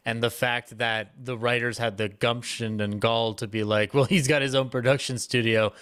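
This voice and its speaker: A man's voice: mid-range voice, steady tone, moderate pace, a bit bright, clear, resonant tone. A man with a mid-range voice chats as a panelist. His delivery is informal and colloquial, with an effusive streak. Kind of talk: casual panel discussion.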